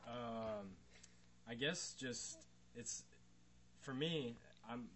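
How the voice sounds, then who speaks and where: A man who plays bass, answering an interview question hesitantly and searching for words. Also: drawn-out words, a bit bright. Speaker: bass player.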